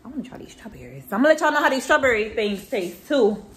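A woman in her thirties chatting casually to a phone camera in her home kitchen, relaxed and teasing. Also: on the high side, fast. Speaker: vlogger and mother. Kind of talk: casual home vlog chat. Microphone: phone camera held at arm's length.

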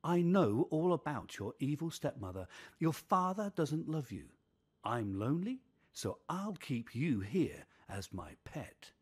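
Menacing, lonely growling ghoul for a storybook, male reader; American, husky, deliberate pace. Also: a little high, very animated, voice pushed up, full character voice. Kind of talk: storybook reading.

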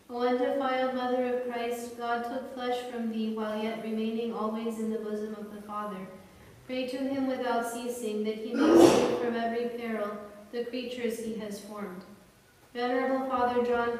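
A woman's voice: a little high, darkish tone. Echoey, solemn woman in liturgical recitation. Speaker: church reader and chanter. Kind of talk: liturgical reading and chanting. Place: large reverberant indoor space. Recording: echoey church-like hall, distant microphone, faint noise.

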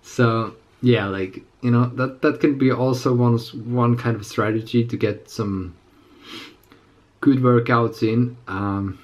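A man in his twenties with a slightly raspy, under-the-weather voice, chatting casually to camera as a fitness vlogger in a halting, rambling way. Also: mid-range voice, fairly even intonation, a little mellow. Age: 20s. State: slightly sick. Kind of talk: casual unscripted chat to camera. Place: small indoor room with plain walls.

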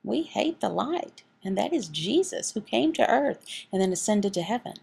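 A woman reading a sermon and scripture aloud as a voice interpreter, in measured, halting short phrases. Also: medium pitch, very animated, creaky, darkish tone, husky.

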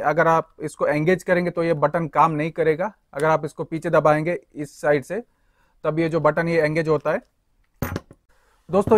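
A young man explains a power tool part by part, talking to viewers as friends. His voice sits a little high and stays even in pitch. The sound is close and dry, with no room echo. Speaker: tool reviewer. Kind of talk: hands-on product walkthrough.

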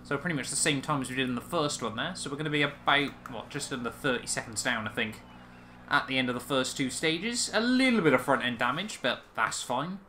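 A man's voice: mid-range voice, fairly quick, pushed and bright, a little hiss or hum. A man giving chatty, self-deprecating commentary while playing a rally racing game. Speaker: sim racing video creator.

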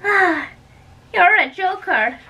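A woman acting a fussy, chatty old nurse in an amateur dramatic play reading, with drawn-out delivery. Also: very high-pitched, animated, bright, forceful tone, voice pushed up, heavily acted. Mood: indignant, fussy. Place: small bedroom. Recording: distant mic, room noise.

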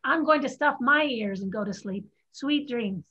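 A headstrong, free-spirited young woman played by an actress in a play reading. Her voice is high, and it comes through a dull video-call line. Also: moderate pace, fairly bright tone, slightly rough. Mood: reluctant. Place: home room on a group video call.

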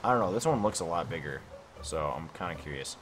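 A man's voice: sits low, expressive, quick pace, noisy background. A young man casually commenting on his own game session at home late at night, rambling and thinking aloud with lots of filler words. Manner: rambling, self-questioning, lots of "like" and "I don't know". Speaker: gaming YouTuber. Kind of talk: casual let's-play commentary. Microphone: desk mic.

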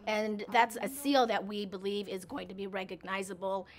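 A woman's voice: mid-range voice, steady tone, normal speed, a little hiss or hum. A middle-aged woman school board member speaking in a composed, official way.

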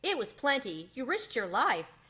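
A woman voicing an eager, grateful young boy in a storybook, earnest and excited. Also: a little high, moderate pace, breathy edge, voice pushed up, full character voice. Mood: amazed, grateful.

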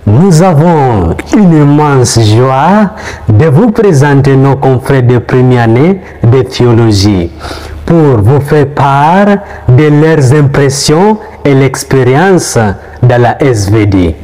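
Slow, formal male presenter. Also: medium pitch, expressive, warm tone, rough recording. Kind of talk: formal news-style introduction.

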